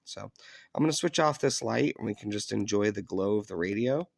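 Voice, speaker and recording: A man giving a casual, unscripted hobby demonstration in a low-key, hesitant, conversational way. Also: lively intonation, brisk, gravelly. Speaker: hobbyist video presenter. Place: indoors in an upstairs room.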